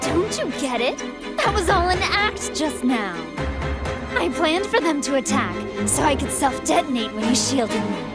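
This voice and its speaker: A woman's voice: sits high, animated, moderate pace. A woman playing a scheming, cruel and haughty female android in an anime game, with a theatrical, taunting delivery. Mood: cruel gloating. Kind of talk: dubbed anime game acting. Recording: loud music behind the voice.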